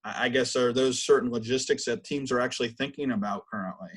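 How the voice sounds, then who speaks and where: A man's voice: medium pitch, fast, fairly bright tone, husky. A man co-hosting a podcast, casually and somewhat tentatively phrasing his questions. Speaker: podcast co-host, interviewer. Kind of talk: casual interviewing.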